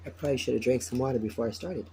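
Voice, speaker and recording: A man with a raspy, sleepy morning voice and a dark, mellow tone talks his way through a tarot spread. He is casual and chatty and circles back over the same phrases. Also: medium pitch, racing, a little hiss or hum. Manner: chatty, repeats phrases, laughs often. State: tired, morning voice. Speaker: tarot reader. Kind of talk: casual spoken tarot reading.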